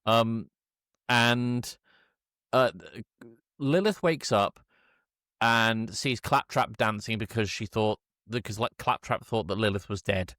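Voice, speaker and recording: A man co-hosting a podcast, giving a casual comedic film recap in a halting, irreverent way with mock-quoted dialogue. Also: lowish voice, a bit bright, no room sound, studio quality. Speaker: podcast co-host.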